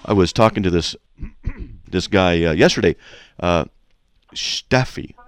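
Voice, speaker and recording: Man, radio call-in host, low creaky voice, animated storytelling, frank casual teaching, close dry sound. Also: measured pace, no echo. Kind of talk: casual conversational teaching and anecdotes.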